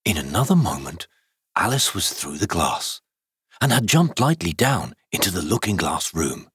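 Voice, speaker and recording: A man's voice: deep voice, sing-song, moderate pace, a bit bright, husky, no echo, clean studio sound. A man narrating a children's story as an audiobook reader.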